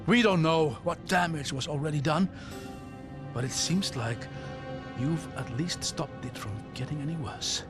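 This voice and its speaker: An actor playing a curious, learned scholar in a fantasy video game, articulate, formal and thoughtful. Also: male voice, fairly high pitch, unhurried, music in the background, lightly acted. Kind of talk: dramatic video game acting.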